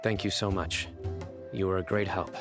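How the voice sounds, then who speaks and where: Stern young game lawman, real low voice, formal n deadpan, kinda weary, music in the background. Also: normal speed. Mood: polite, businesslike. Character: stern, reserved young male desert lawman, serious and weary. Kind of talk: video game voice acting.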